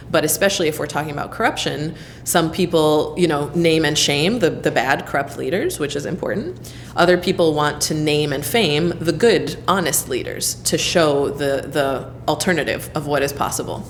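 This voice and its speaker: An American woman answering interview questions in a thoughtful, conversational way, often pausing with ums and you-knows as she speaks as a nonprofit leader. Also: deep voice, slight background noise. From United States.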